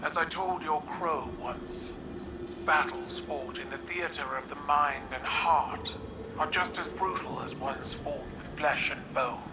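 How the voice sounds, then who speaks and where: Man acting slow, proud alien warrior ruler. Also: fairly high pitch, background noise, lightly acted. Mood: grave respect. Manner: formal, deliberate, commanding. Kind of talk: dramatic video game voice acting.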